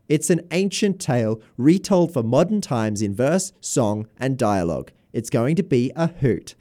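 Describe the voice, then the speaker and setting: A man's voice: medium pitch, warm tone. A man reading scripted promos as a community radio announcer.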